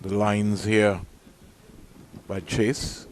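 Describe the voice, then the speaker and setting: A man giving measured live cricket commentary, reading out scores and ball-by-ball play. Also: on the low side, little pitch movement, slight background noise.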